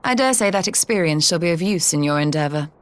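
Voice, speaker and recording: A woman's voice: lowish voice, expressive, fast, natural voice. A woman playing a cool, scholarly sage in a fantasy game, precise, formal and matter-of-fact. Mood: composed. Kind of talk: scripted fantasy game acting.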